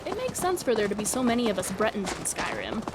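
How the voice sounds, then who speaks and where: A woman's voice: medium pitch, big pitch swings, quick pace, close to an everyday voice. A woman playing a bookish companion in a fantasy video game, speaking scripted dialogue. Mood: musing. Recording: distant, buried under loud game noise.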